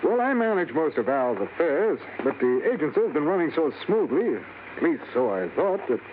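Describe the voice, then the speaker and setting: Earnest, higher-voiced manager, old radio sound. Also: male voice, sing-song, normal speed, background noise. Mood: troubled. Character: anxious, courteous male business manager of a wealthy man. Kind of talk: 1950s radio drama acting. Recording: tinny old radio broadcast with music.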